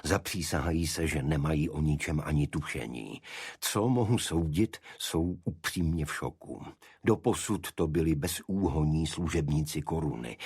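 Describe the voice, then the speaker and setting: Audiobook role: stern, worried senior official, read by a man, formal and measured, low husky register, dry close sound. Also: darkish tone, no echo, exaggerated voice. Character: grave, worried older gentleman official, cold and authoritative. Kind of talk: audiobook character dialogue.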